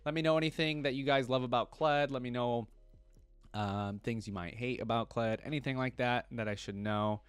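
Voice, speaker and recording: A man's voice: mid-range voice, normal speed, smooth, no room sound, faint music behind. A man hosting a reaction video, talking casually and thinking aloud in a rambling way, often checking himself with a questioning tag. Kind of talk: casual reaction commentary to camera.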